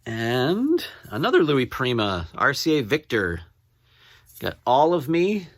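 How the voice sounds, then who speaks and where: A man chatting casually as he shows off his finds as a collector, rambling and halting, often repeating what he reads out. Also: medium pitch, huge pitch swings, no room sound, clean studio sound. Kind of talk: casual show-and-tell to camera.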